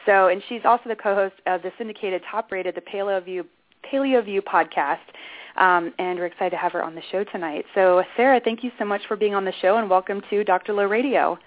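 A woman's voice: mid-range voice, fairly even intonation, a little fast. A woman hosting a health radio talk show, chatting loosely with many fillers and restarts. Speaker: radio show host, clinician. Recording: tinny phone-like sound.

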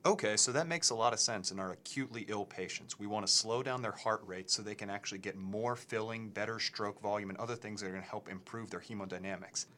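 A man's voice: on the low side, fast talker, few pauses, fairly bright tone, a little hiss or hum. A man, a resident physician, summarizing and asking a follow-up question. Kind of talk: asking questions in a teaching discussion.

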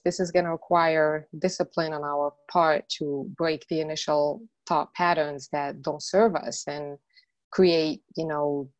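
A woman's voice: deep voice, no room sound. A middle-aged woman from Morocco, an interview guest telling her story earnestly, with many "you know" fillers.

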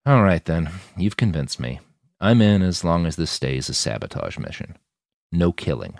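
A man performs a wary old soldier who has sworn off killing, in an audiobook. He is skeptical and wry, his low voice moving expressively in a dry, close recording. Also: big pitch swings, normal speed, vocal fry, dry recording, full character voice. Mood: resigned, firm. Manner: skeptical, dryly matter-of-fact. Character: wary, wry, reformed male killer, superhuman old soldier. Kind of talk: audiobook character dialogue.

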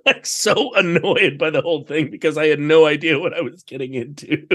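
An American man chatting casually as a podcast guest, telling things in an animated, anecdotal way. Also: fairly high pitch, normal speed, breathy edge. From United States. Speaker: podcast guest, theatre director.

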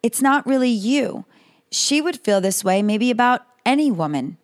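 A woman hosting a podcast, slightly hoarse, reading calm advice in a scripted monologue. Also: fairly high pitch, normal speed, no room sound, studio quality. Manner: calm, advising. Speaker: podcast host.

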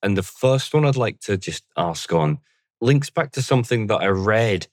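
Low-voiced male podcast host. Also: animated, dry recording, clean studio sound. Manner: measured, thoughtful questioning. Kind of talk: podcast interview.

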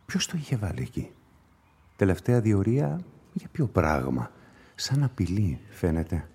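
An actor playing a sceptical police inspector in a radio play, musing and questioning in a dryly ironic way. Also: male voice, sits low, big pitch swings, mellow, husky, heavily acted. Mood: suspicious. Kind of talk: radio play acting and monologue.

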